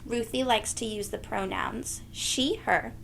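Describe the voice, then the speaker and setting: A woman reading a children's picture book aloud as a storyteller, in a deliberate, teacherly, sing-song way for young listeners. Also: fairly high pitch, creaky, fairly bright tone, a little hiss or hum.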